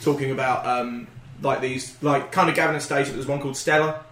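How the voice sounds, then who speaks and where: Man, fast talker, laughs a lot, swears, echoey room. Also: medium pitch, fairly even intonation, a bit bright. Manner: quick, laughing, swearing storyteller. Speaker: podcast co-host. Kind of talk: casual chat.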